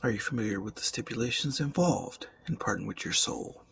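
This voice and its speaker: Man playing a creaky-voiced suave demon, half whispering. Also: lowish voice, lively intonation, background noise. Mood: teasing, businesslike. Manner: formal, eloquent, slow teasing delivery with breathy whispers. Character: suave, mocking, predatory demon in a suit. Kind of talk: ASMR roleplay.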